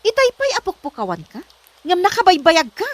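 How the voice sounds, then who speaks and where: A woman's voice: extremely high pitch, huge pitch swings, a little fast, exaggerated voice. A woman with an Ilocano accent playing a strict, suspicious, bossy lady of the house in a comedy drama. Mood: angry, scolding. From Philippines. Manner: scolding, demanding.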